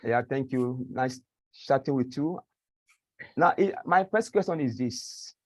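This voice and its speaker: A man's voice: mid-range voice, animated, a little slow, dry recording. A male researcher answering questions on a video call, earnest and constantly saying "you know". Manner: earnest, leans heavily on the filler "you know". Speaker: award-winning researcher and webinar panelist. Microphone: video call microphone. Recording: slightly dull, lo-fi call audio.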